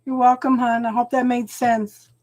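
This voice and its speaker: A woman doing a live tarot reading at home, talking slowly and soothingly, often checking in with "okay?", and clearing her throat as she loses her voice. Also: on the high side, level tone, a little mellow, slight background noise. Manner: slow, soothing, keeps adding "okay?". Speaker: tarot reader.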